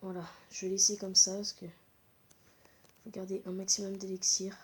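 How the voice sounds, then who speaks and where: Congested gamer commentating live. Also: female voice, mid-range voice, completely flat delivery, normal speed. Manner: exclamatory, repeats short interjections. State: congested with a cold. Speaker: gaming channel host. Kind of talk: live excited gameplay commentary.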